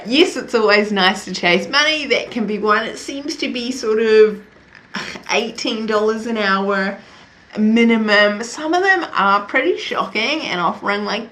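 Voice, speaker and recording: A woman's voice: mid-range voice, fairly bright tone. A young woman from New Zealand with a Kiwi accent, vlogging to camera in a chatty way, often laughing at herself. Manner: chatty, laughs often, self-deprecating asides. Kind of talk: casual talk to camera.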